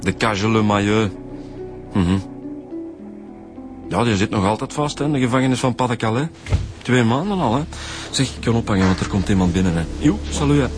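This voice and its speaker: A man acting out a calm Flemish detective with a lowish voice, soothing and patient with witnesses. Faint music runs under this radio play. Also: brisk, pauses often, natural voice. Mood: phoning. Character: easygoing male police detective, calm and coaxing.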